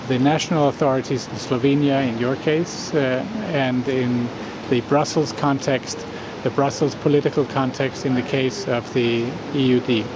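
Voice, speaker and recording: A man interviewing formally, careful and hesitant as he rephrases his questions. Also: mid-range voice. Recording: clear background hum and noise.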